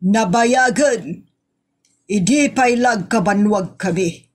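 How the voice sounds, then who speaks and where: A woman's voice: medium pitch, slow, background noise. A woman playing a grumbling, defensive aunt in a comedy audio drama.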